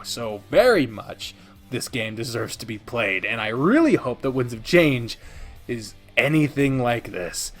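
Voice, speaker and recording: A man hosting a casual, enthusiastic countdown video, speaking emphatically and dramatically with playful asides and some swearing. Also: medium pitch. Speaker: host of a game video channel. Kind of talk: casual, enthusiastic top-ten countdown commentary.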